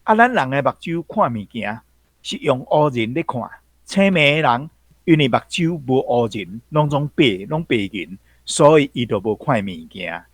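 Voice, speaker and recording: A man's voice: medium pitch, animated, moderate pace, dry recording. A man with a Taiwanese accent explaining folk sayings, emphatic and fond of repeating key phrases. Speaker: language commentator.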